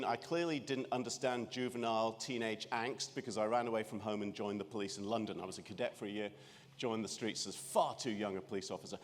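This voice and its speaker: A man from Scotland with a Scottish accent giving a keynote talk in a conference room, wry and self-deprecating, with many restarts. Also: medium pitch. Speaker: keynote speaker. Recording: reverberant conference hall.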